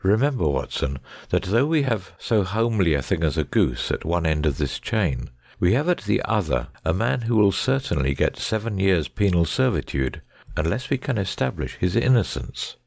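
A man's voice: deep voice, big pitch swings, moderate pace, gravelly, darkish tone, no room sound, clean studio sound, full character voice. A man playing a shrewd, self-assured detective in a dramatised audiobook, speaking precisely and formally. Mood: brisk, resolute.

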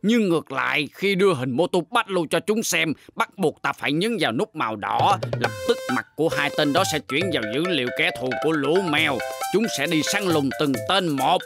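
A man's voice: on the high side, lively intonation, brisk, gravelly, few pauses, exaggerated voice. A man voicing a boastful, bumbling, scheming gangster boss in a dubbed cartoon, pompous and commanding. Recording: loud music behind the voice.

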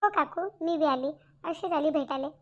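A woman acts a polite but crafty young village girl in a cartoon. Her voice is very high and coaxing, with a rural Maharashtrian accent, and the recording is a little dull. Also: moderate pace, a bit bright. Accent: rural Maharashtrian Marathi. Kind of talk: cartoon comedy dialogue.

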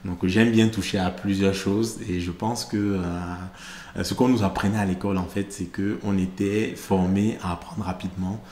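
A deep-voiced man in his thirties, originally from Cameroon, talks through his career in a steady, even tone. He pauses often to gather his thoughts, speaking into a stand mic. The studio sound is a bit roomy, with a faint hiss underneath. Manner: measured, thoughtful, many hesitations. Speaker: podcast host, interviewed guest. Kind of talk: conversational interview. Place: studio set with plants and glass-block wall.

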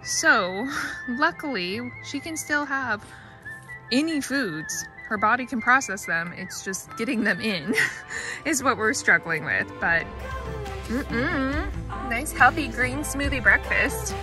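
A woman's voice: on the high side, very animated, slow, music in the background, rough recording. A woman in her thirties chatting casually in an upbeat way while vlogging outdoors on a homestead, filmed on a handheld phone. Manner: chatty, upbeat, laughs often. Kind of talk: casual vlog chat. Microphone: handheld phone camera.

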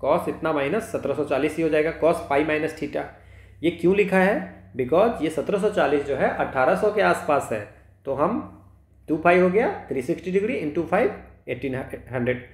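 A man's voice: fairly high pitch, expressive, unhurried. A man with an Indian accent teaching maths step by step as a tutor, instructive and often checking in with short questions. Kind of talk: explaining exam questions step by step, switching between Hindi and English. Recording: roomy, echoing sound.

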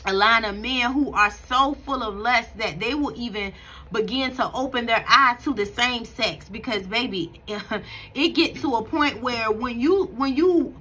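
A woman in her thirties talks straight into a close phone camera in a bright room at home. She gives spiritual encouragement in a husky, edgy voice, earnest and preachy, often restarting her sentences. Her pitch sits a little high. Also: a little slow, poor audio. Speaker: faith vlogger. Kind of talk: casual spoken devotional encouragement. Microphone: phone camera at close range.

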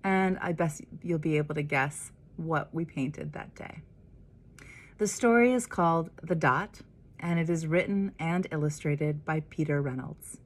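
A middle-aged American woman reading a picture book aloud in a deliberate, expressive way. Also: deep voice. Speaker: minister of religious education, storyteller.

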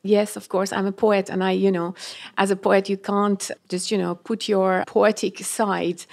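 A woman whose first language is Persian speaks in careful, earnest phrases, often pausing to find the right word. Her voice carries a gravelly edge at a middle pitch. She is a guest talking about her film on a live panel. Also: level tone. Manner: searching for words, earnest. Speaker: filmmaker guest.

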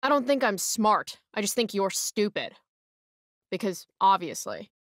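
A woman's voice: mid-range voice, very animated, a little slow, no echo, studio quality. A young woman playing a rebellious, sarcastic teenage girl in a video game, with an American accent and a sardonic, defiant delivery. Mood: mocking defiance. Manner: sardonic, defiant, foul-mouthed.

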